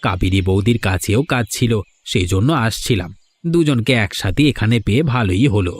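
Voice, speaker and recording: A man's voice: on the low side, fairly quick, breathy edge, no echo. A man voicing a landlord's sly, insolent errand man in a village cartoon, with a taunting, insinuating manner. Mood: needling. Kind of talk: cartoon voice acting. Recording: music behind the voice.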